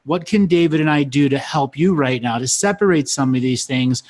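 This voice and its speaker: A man's voice: medium pitch, normal speed, a little mellow. A man hosting a live call-in show, friendly and guiding, patiently repeating his questions to callers. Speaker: host.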